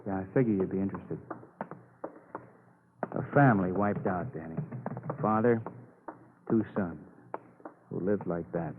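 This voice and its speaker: An actor playing a weary, needling police detective who rambles through rhetorical questions in a vintage radio crime drama. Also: male voice, low voice. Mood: tired, somber. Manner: slow-building, repeats the listener's name, asks rhetorical questions. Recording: noisy, narrow-band old radio broadcast.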